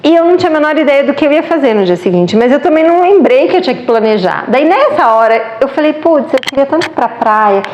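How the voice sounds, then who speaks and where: A woman's voice: high voice, very animated, fast, hardly any pauses, a little mellow, reverberant, muffled recording, slight background noise. A Brazilian woman with a Brazilian Portuguese accent, chatting casually and with animation as she tells a travel story, laughing often. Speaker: travel vlogger.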